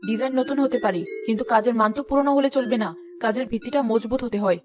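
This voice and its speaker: A woman's voice: a little high, fast talker, darkish tone, faint music behind, natural voice. A woman voicing an exacting middle-aged village customer in a moral cartoon, speaking frankly, firmly and politely. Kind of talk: moral-story cartoon dialogue.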